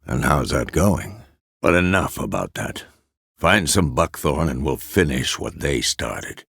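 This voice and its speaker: A gruff old monster-hunter mentor from a fantasy game. His gravelly, husky voice swings freely in pitch, and he speaks in terse, wry phrases. The recording is close and dry. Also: lively intonation, measured pace, fairly bright tone, no room sound, heavily acted. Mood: wry, patient. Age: older. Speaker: video game voice actor. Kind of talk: scripted video game character dialogue.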